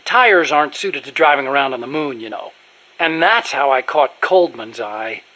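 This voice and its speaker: An actor playing an earnest, talkative American male nuclear scientist in a video game, explaining things in an animated manner. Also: medium pitch, normal speed, low-quality recording. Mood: nostalgic, reflective.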